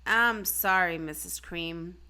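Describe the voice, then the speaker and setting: A woman's voice: fairly low pitch, slow, bright, forceful tone, clean tone, exaggerated voice. A woman voicing a polite, apologetic male school principal in a storybook, formal and firm. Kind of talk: storybook read-aloud.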